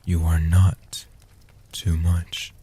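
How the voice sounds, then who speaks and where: A man voicing a gentle old guardian vampire in a soft-spoken comfort roleplay, slow and soothing, with a husky, half-whispered delivery. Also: low-pitched, completely flat delivery, a little hiss or hum. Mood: tender, reassuring.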